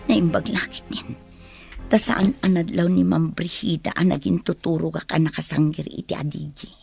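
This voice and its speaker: An actress playing a frightened captive woman in a radio drama, speaking in hushed, breathy whispers with a Filipino accent. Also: on the low side, steady tone, moderate pace, faint music behind, heavily acted. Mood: anxious, secretive. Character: frightened, injured captive young woman plotting escape.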